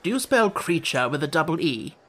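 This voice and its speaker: A man's voice: a little high, sing-song, a little fast, fairly bright tone. A man voicing a mild, fussy old storybook king, nervous and given to muttering and repeating himself. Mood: resigned, musing.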